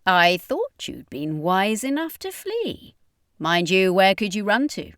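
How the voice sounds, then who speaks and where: A woman's voice: mid-range voice, sing-song, slow, fairly bright tone, no room sound. Woman doing an arrogant vampire demon god guy, taunting and bossy, storybook villain, dry sound. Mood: mocking, smug. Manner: mocking, imperious.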